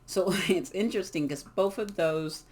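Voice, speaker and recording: A card reader giving a casual collective reading, hoarse from losing their voice, talking in an emphatic, encouraging way with many fillers. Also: mid-range voice, normal speed, a little mellow. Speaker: tarot and oracle card reader.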